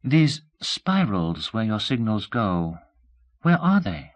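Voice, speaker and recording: A male narrator voicing a candid, shrewd young woman in her early twenties who speaks softly and asks pointed questions in an audiobook. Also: on the low side, big pitch swings, takes it slowly, pitched above the performer's own voice. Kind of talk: audiobook character voice.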